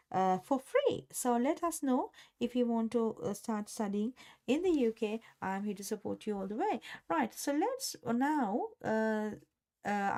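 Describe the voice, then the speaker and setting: A woman's voice: mid-range voice, warm tone. A woman talking as a study abroad consultant, hesitant with fillers and restarts. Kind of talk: casual promotional talk.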